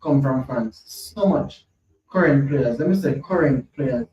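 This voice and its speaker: Host, unhurried, rambling football chat, mic at a distance in an echoey room, slightly dull audio with faint noise. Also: male voice, medium pitch, lively intonation. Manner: slow, rambling, thinks aloud, repeats himself. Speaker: podcast host. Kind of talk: casual football chat, reading from screen.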